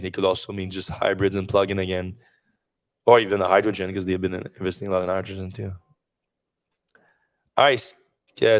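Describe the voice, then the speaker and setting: Low, flat-voiced man on a podcast. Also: clear, resonant tone. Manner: rambling, many fillers and restarts. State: losing his voice. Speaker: podcast host. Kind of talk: casual news discussion podcast.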